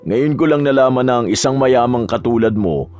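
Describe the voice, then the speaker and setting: A man's voice: mid-range voice, lively intonation, a little fast, a little mellow, clear, resonant tone, natural voice. Guy acting a flirty cocky rich dude in a romance audio drama, teasing sweet talk, mid voice, bit of music under. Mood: amused, teasing.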